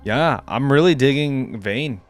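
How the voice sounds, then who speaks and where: A man's voice: medium pitch, animated, unhurried, soft background music. A man hosting a reaction video, giving casual, slangy gaming commentary as he thinks aloud.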